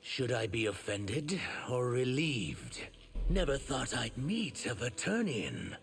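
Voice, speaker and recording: A man voicing an earnest, wounded reptilian shapeshifter for a video game, measured and sincere with a gravelly edge. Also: medium pitch, wildly swooping pitch, full character voice. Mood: wary, dry. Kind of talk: video game character acting.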